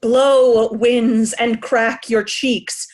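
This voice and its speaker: A woman plays an infirm old king who feels wronged and rages in verse. The delivery is slow and declamatory, in a fairly high voice, as in a staged reading of Shakespeare. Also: level tone, darkish tone. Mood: raging, defiant.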